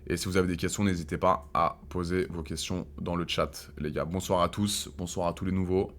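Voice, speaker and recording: Deep-voiced man hosting a casual stream. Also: a little fast. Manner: relaxed, hesitant, many fillers and restarts, crude slang. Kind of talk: casual live-stream chat.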